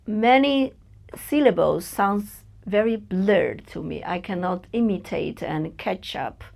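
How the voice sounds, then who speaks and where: Chinese-accented woman, native Chinese speaker, podcast guest; slow and halting, some vocal fry, plenty of fillers. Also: mid-range voice, lively intonation, darkish tone. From China. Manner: hesitant, searching for words, many fillers. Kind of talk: personal interview.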